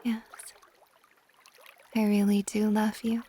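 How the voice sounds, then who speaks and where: A woman playing a possessive, tender dragon goddess in an intimate ASMR roleplay, speaking softly and breathily, often near a whisper. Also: mid-range voice, little pitch movement, slight background noise, close to an everyday voice. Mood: possessive, adoring. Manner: soft, breathy, slow, often dropping to whispers.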